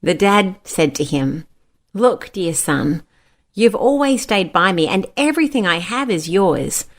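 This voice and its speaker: A woman's voice: lowish voice, big pitch swings, measured pace. A woman narrating a scripted children's Bible story and acting out the quoted speech. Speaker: storyteller, a mother.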